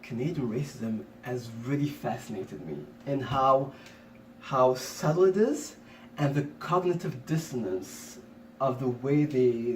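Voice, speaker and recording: A man from Congo talking in casual conversation as a co-host, rambling with many restarts and fillers, recorded from a distant mic. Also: medium pitch. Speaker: podcast co-host. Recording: roomy, distant mic, faint noise.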